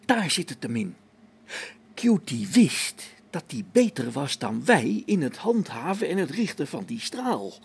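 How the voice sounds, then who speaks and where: A man's voice: fairly high pitch, big pitch swings, a little slow, vocal fry, breathy edge, full character voice. An actor playing a harried space station engineer in a radio play, thinking aloud as he reasons things out. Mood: dawning realisation.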